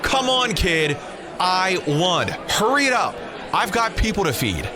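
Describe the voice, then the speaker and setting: A man's voice: sits high, sing-song, a little slow. A man playing an impatient, brusque gambler in an audio drama, snappish and irritable. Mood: impatient, irritated. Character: impatient, irritable gambler demanding his winnings. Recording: crowd noise around the voice. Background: crowd.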